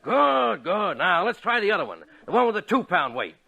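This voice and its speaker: An actor playing a brusque, bossy gym trainer in 1940s radio comedy, barking out emphatic instructions. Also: male voice, high voice, big pitch swings, moderate pace, gravelly, background noise, heavily acted. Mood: brisk, sardonic.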